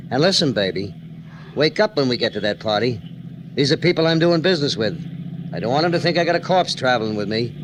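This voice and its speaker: An actor playing a hard, bullying gangster in a 1940s radio drama, curt, slangy and threatening. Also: male voice, medium pitch, lively intonation, fast, natural voice. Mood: cold, businesslike. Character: hard, bullying male gangster smuggling guns and dope. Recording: noisy old 1940s radio recording.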